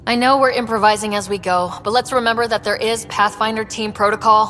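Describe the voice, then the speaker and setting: A woman's voice: a little high, fairly even intonation, quick pace, pushed and bright, in a large hall, faint music behind. An actress playing an earnest young female leader of a space expedition in a video game, businesslike and conversational. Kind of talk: scripted video game acting.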